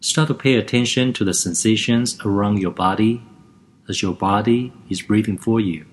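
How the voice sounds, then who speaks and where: A man guiding a lying-down relaxation and breathing exercise, giving gentle step-by-step instructions in a calm, even way. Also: lowish voice, moderate pace.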